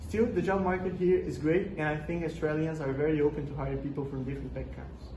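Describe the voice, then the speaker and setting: A man's voice: a little high, fairly even intonation, fast, warm tone. Young man reading answers in echoey room. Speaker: role-play interviewee. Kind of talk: scripted school role-play. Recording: echoey room with noticeable noise.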